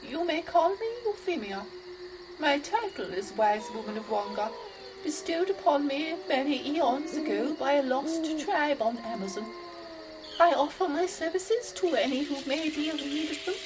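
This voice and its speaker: A woman's voice: high-pitched, expressive, normal speed, over music, low-quality recording. A woman playing a pompous, mysterious old wise woman soothsayer in a comedy audio drama, drawing out her phrases theatrically. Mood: grand, mystical.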